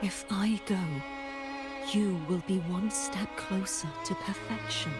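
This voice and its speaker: A woman voicing a young noble game heroine, resolute and sorrowful, with formal, deliberate phrasing. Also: medium pitch, fairly even intonation, close to an everyday voice. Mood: pleading, firm. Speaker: game character voice. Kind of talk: earnest dramatic game dialogue. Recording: loud orchestral violin music behind.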